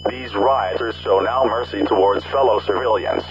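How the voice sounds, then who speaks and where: A man playing a serious radio announcer, reading scripted news and emergency warnings in a formal, measured way. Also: lowish voice, close to an everyday voice. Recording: muffled radio with clear humming noise.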